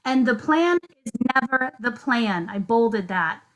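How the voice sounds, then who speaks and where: A young woman hosting a virtual conference session, moderating questions in a friendly, relaxed way from an office. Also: fairly high pitch, unhurried, rough recording. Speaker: event host, moderator. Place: office, indoors. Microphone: video call.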